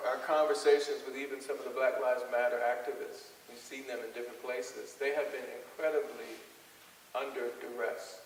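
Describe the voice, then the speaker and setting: A male psychologist lectures with a creaky, gravelly voice at an ordinary pitch. He is earnest and deliberate, leaning on rhetorical questions. The sound is distant and reverberant, as in a hall. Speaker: psychologist giving a public lecture. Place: large hall with audience, public address microphone. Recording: echoey hall, faint hiss, distant mic.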